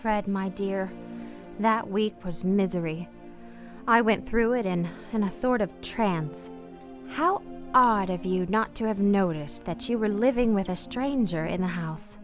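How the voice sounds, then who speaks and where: A woman's voice: medium pitch, a little slow, faint music behind, natural voice. An actress in a radio play playing an anguished, guilt-ridden married woman, genteel and emotional in manner. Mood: wistful confession. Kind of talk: radio play acting and narration.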